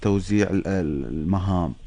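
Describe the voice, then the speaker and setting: A man's voice: low-pitched, moderate pace, vocal fry, warm tone, no echo. A man with a Gulf Arabic accent, co-hosting a radio show and putting questions conversationally. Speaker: radio co-host. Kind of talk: conversational interviewing.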